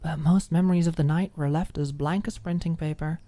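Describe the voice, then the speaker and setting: A woman's voice: low voice, fairly even intonation, brisk, mellow, no echo. A woman narrating a scripted story in an ASMR audiobook style.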